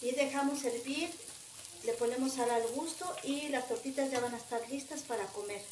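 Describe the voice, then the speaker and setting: A woman's voice: sits high, moderate pace, darkish tone, a little hiss or hum. A woman with a Mexican Spanish accent explaining cooking steps casually, in a homely way full of diminutives. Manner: homely, uses many diminutives. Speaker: home cook presenting recipes. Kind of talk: casual cooking voice-over, step by step. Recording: roomy echoing home recording.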